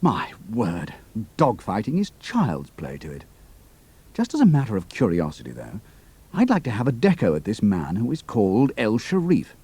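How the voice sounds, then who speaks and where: An actor playing a young British flying officer working undercover, brisk and plucky, with a British accent, in an audio drama. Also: male voice, lowish voice, wildly swooping pitch, dark tone, slightly rough, exaggerated voice. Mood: clipped, cool.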